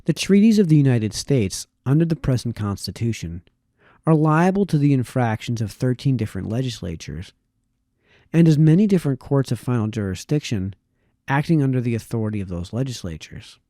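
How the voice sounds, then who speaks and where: Male reader, mid-pitched and soft-edged, measured formal delivery of old prose, small stumbles, very dry close recording. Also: very animated, no echo. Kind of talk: reading aloud a historical political text.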